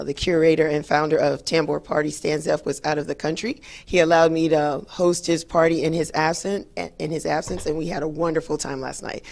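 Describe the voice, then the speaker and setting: A hoarse woman, hosting a talk show in an upbeat, chatty way with many fillers. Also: low-pitched. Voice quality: raspy. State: hoarse after a late night. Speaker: radio show host. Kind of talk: solo talk show monologue.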